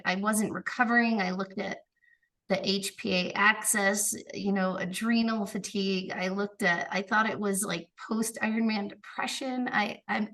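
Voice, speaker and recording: A woman's voice: medium pitch, fairly bright tone, far from the mic. A woman who is a podcast guest, stuffed up from a cold, telling her story casually with fillers and quoted dialogue. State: congested from a cold.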